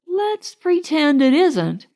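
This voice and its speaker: A woman's voice: high-pitched, big pitch swings, warm tone, pitched above the performer's own voice, exaggerated voice. A woman voicing an offhand, bossy male rabbit in a storybook, with a dry, careless manner. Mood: dryly evasive. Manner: brisk, careless.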